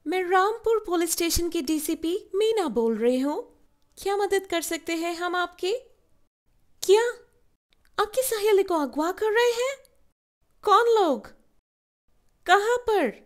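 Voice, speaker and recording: A woman's voice: very high voice, lively intonation, measured pace, pauses often. A woman voicing a businesslike, formal senior policewoman in a children's doll story. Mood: official, concerned. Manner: formal, official, urgent.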